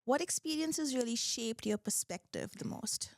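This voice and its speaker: A woman's voice: on the high side, moderate pace, creaky, dry recording, clean studio sound. A young adult woman hosts a podcast, reading a scripted intro and then asking questions in an upbeat, encouraging manner. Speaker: podcast host. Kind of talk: podcast interview hosting.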